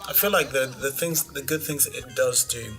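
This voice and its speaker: A man's voice: mid-range voice, normal speed, sharp tone, faint music behind. A man from Nigeria hosting a casual film review, blunt and skeptical, with wry asides. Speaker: film critic host.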